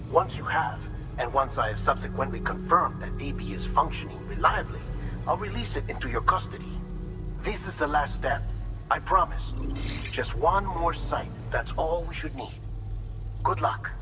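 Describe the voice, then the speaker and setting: An actor voicing an affable male scientist who talks in precise technical terms with earnest warmth. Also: fairly high pitch, close to an everyday voice, rough recording. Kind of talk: video game cutscene dialogue. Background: music.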